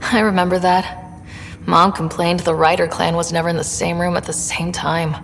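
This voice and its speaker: A woman's voice: on the low side, little pitch movement, moderate pace, creaky, long reverb tail, slight background noise. A wry young woman explorer. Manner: wry, dry humor. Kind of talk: video game voice acting.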